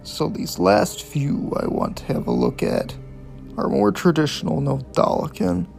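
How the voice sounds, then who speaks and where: A man's voice: on the high side, huge pitch swings, a little slow, gravelly, darkish tone, faint music behind. A man hosting a casual video essay on horror clips, speaking in an informal, opinionated way with plenty of swearing. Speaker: host of a video series reviewing analog horror.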